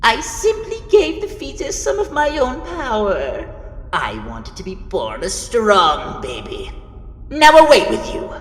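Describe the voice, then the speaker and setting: A woman plays a haughty fire emperor, a gloating cartoon villain. The delivery is slow and menacing, swinging theatrically up and down in a long, hall-like reverb. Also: fairly high pitch, wildly swooping pitch. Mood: smug gloating. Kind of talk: animated fantasy villain acting.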